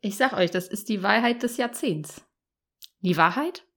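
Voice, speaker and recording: A woman's voice: medium pitch, lively intonation, normal speed, fairly bright tone. A woman hosting a book podcast in casual chat, playful and joking. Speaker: podcast host.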